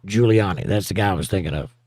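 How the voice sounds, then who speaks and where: A man's voice: low-pitched, fast talker, darkish tone, no room sound, studio quality. A man with a Southern accent hosting a livestream, reading viewer comments in a casual, teasing way and chuckling often. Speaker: livestream host reading chat. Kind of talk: casual chat.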